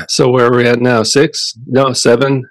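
A man co-hosting a casual Bible study talk, thoughtful and halting, with a deep radio voice. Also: no echo, rough recording. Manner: relaxed, thoughtful, halting with restarts. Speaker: Bible study podcast co-host. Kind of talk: casual Bible discussion and scripture reading.

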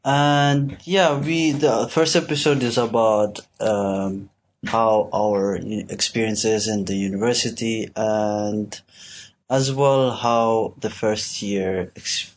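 A young man from Switzerland co-hosting a casual student podcast, talking in a hesitant, halting way with many restarts. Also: lowish voice, steady tone, slight background noise. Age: young adult. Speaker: podcast co-host, university student. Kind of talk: casual chat.

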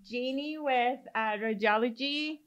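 A woman's voice: sits high, unhurried, fairly bright tone, smooth, lo-fi sound. A middle-aged woman from Mexico with a Mexican Spanish accent, hosting a podcast and chatting casually, rambling through stories with lots of fillers. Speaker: podcast host. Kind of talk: casual chat.

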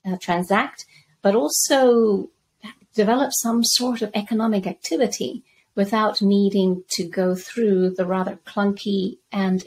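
A middle-aged woman who grew up in Zambia, giving measured, articulate answers as an interview guest. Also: mid-range voice, breathy edge, poor audio. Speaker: guest crypto researcher.

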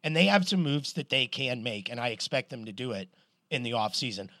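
A middle-aged man co-hosting a podcast, telling stories in an animated, swearing, casual way. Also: medium pitch, fairly quick, dry recording, studio quality. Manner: animated storyteller, swears, restarts a lot. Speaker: podcast co-host. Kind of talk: casual sports chat.

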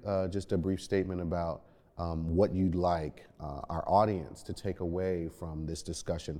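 A man hosting a discussion, speaking in halting, measured phrases as he guides the conversation. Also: sits low, mellow. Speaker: podcast host. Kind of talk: discussion moderating. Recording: reverberant hall-like echo.

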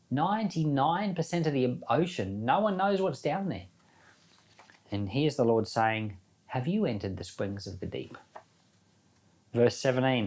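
Guy doing a casual bible talk, average pitch, reads scripture then chats about it, asks lots of rhetorical questions. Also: a little mellow. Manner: conversational, posing rhetorical questions. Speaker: Bible teacher. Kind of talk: informal sermon with scripture reading and commentary.

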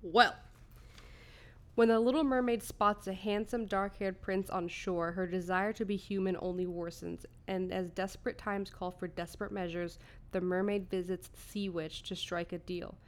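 A woman's voice: medium pitch, fairly even intonation, moderate pace. Woman podcast co-host telling stories playfully. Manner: playful, giggly, sweary. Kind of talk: casual comedic storytelling, half scripted.

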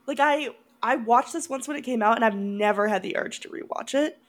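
A woman's voice: fairly high pitch, brisk. A woman co-hosting a casual film review chat, often breaking into giggles as she talks. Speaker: podcast co-host.

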